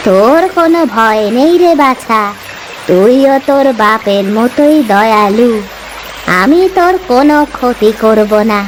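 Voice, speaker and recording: A woman puts on an elderly village granny's ghost for a cartoon tale. She speaks slowly and reassuringly in a raised pitch, as if coaxing a child. Faint noise is heard behind her. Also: lively intonation, poor audio. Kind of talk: cartoon voice acting.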